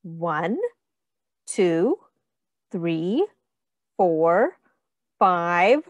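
A woman teacher reading a picture book aloud to young children in a sing-song, deliberate, instructive way, with counting and questions. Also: on the low side, lively intonation, no echo, dull audio.

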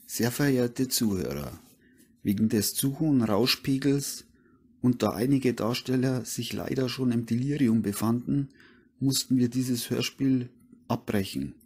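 A man's voice: mid-range voice, unhurried, darkish tone, breathy edge. A man making a stiff, mock-formal announcement to listeners of an amateur radio play.

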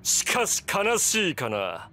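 A man voicing an arrogant, godlike vampire villain in a Japanese video game, with grandiose, drawn-out taunts and bursts of shouting. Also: sits high, huge pitch swings, heavily acted. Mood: haughty, condescending.

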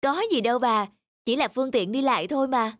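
A woman voicing a polite young village wife in a cartoon, calm, modest and gently firm. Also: on the high side, brisk, dry recording, soft background music, natural voice. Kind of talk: cartoon dubbing.

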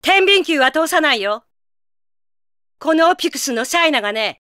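A woman's voice: high voice, expressive, moderate pace, fairly bright tone, no room sound, studio quality. An actress playing a proud, fierce young female warrior with hidden tenderness in an anime video game, tough and commanding in manner. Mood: stern, challenging. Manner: tough, commanding, rough-spoken. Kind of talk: Japanese anime game voice acting.

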